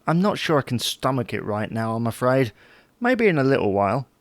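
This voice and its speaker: A man's voice: medium pitch, sing-song, brisk, dry recording. A man playing a dry, long-suffering husband who placates his wife in a domestic audio drama. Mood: wry, tired. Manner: wry, dryly placating. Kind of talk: domestic comedy-drama dialogue.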